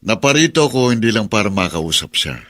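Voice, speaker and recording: A domineering creditor in a Filipino radio drama. His voice is bright and forceful, insistent and menacing, with a slippery false sweetness. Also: lowish voice, very animated, a little fast. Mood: polite, insistent. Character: pushy, menacing creditor man claiming a young woman.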